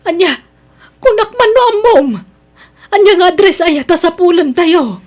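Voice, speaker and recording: A woman playing a practical, concerned mother in an Ilocano radio drama. Her voice is very high, with an Ilocano Filipino accent. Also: expressive, moderate pace, darkish tone. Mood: concerned, prodding.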